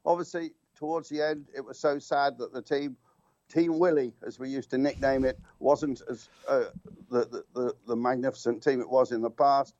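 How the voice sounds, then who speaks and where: An older man reminisces on air, rambling through anecdotes and stumbling over restarts. His mid-pitched voice comes over a slightly muffled, dull line. The sound is dry, with no room around it. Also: steady tone, moderate pace, darkish tone, no echo. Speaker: guest motorsport commentator. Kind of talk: reminiscing radio talk.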